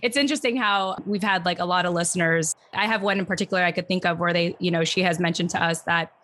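A woman's voice: on the low side, steady tone, fast talker, fairly bright tone. A woman speaking as a podcast co-host, chatting and interviewing in a conversational, anecdotal way.

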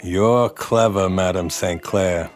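An actor playing a smooth, menacing 1920s mobster boss in a radio drama, measured and courtly with a threat underneath. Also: male voice, deep voice. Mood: persuasive. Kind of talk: period radio drama acting.

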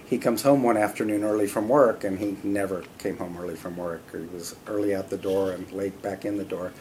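A middle-aged man with a fairly low voice, chatting easily as an interview guest. He tells his stories loosely, with plenty of "uh" and "you know". Faint noise sits under his voice. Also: fairly quick. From California, US. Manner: relaxed and conversational, with frequent "uh" and "you know". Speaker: guest, company CEO. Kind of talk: casual interview storytelling.

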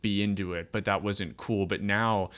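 Deep voiced guy in his 30s hosting a chill interview, smooth tone, chatty and upbeat. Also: steady tone, normal speed, a bit bright. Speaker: podcast host. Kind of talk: casual interview chat.

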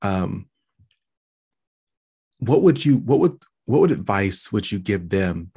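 A man's voice: fairly low pitch, big pitch swings, takes it slowly, creaky, dark tone, husky. A man hosting a podcast interview, eager and casual, repeating words as he searches for them. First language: English. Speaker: podcast host.

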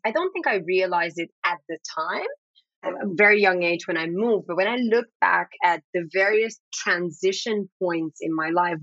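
A woman whose first language is Dutch answers interview questions in a thoughtful, measured way. Her voice sits a little low. The sound is dry and slightly dull. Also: a bit bright, no echo. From Netherlands. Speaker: guest.

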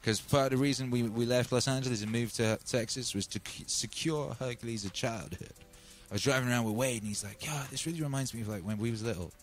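A man from Wales, chatting casually as a stream host, rambling and upbeat with lots of fillers and shout-outs. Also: mid-range voice, fast, faint music behind. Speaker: livestream host. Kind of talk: casual chat.

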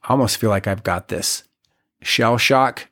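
A man in his forties, a guest on a podcast, talking in a reflective, halting way with many restarts. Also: on the low side, fairly bright tone. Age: 40s. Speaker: podcast guest, community leader. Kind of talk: casual podcast conversation.

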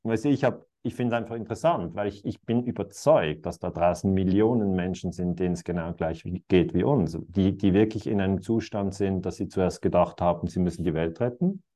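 A man's voice: sits low, a little fast, few pauses, dark tone. A man in his fifties talking reflectively in conversation, musing aloud and chuckling now and then. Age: 50s.

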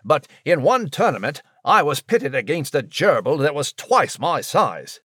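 Dapper little English mouse: bright, sharp, animated, fussily polite, cartoonish audio drama. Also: male voice, on the high side, a little slow, breathy edge, dry recording, studio quality, full character voice. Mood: proper, earnest. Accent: British English. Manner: proper, old-fashioned, fussy and cheery. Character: small, polite, plucky English gentleman mouse. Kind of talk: comic audio drama character acting.

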